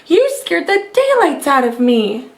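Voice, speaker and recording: A woman's voice: high-pitched, very animated, a little slow, slight background noise, full character voice. A woman voicing a tiny old woman, a motherly storybook character who exclaims and scolds. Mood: startled. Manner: exclaiming, crying out.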